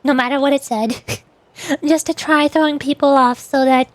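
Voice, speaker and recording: A woman with a high, light voice chats on a livestream. She rambles and keeps restarting her sentences. The sound is dry and close to a streaming mic. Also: steady tone, moderate pace, dry recording. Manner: rambling, self-interrupting, laughs at herself. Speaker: VTuber streamer. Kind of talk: casual livestream chat.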